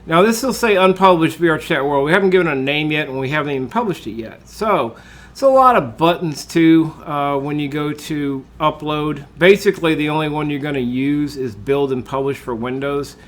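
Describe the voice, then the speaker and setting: A man, congested from a cold, talking through a screen-recorded software tutorial in a relaxed way, with lots of uh and um. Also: fairly high pitch, moderate pace. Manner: relaxed, with frequent uh and um fillers. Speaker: tutorial host.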